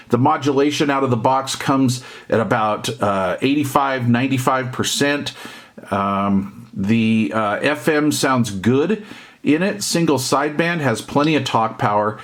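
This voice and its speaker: Middle aged american guy, medium pitch, casually showing off gear for his hobby channel, folksy and plain spoken. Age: middle-aged or older. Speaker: hobby radio reviewer and YouTube host. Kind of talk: casual unscripted product walkthrough.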